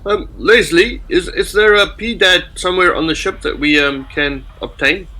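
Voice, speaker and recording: High, bright-voiced man at a game table. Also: poor audio. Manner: halting, polite. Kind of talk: casual in-character game talk.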